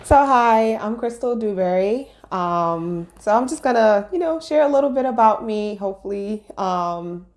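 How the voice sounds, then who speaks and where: A woman's voice: medium pitch, expressive, moderate pace, hardly any pauses, darkish tone. A young woman from Brooklyn, telling her story casually as an interview guest, laughing often. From Brooklyn, New York. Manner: laid-back, chatty, laughs often.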